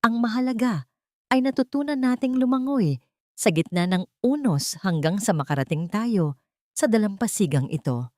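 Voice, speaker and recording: A woman voicing a calm, guarded but forgiving mother in an audio story, speaking gently and firmly. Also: mid-range voice, no room sound, clean studio sound, pitched above the performer's own voice, lightly acted. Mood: gentle, reflective. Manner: measured, gentle, firm.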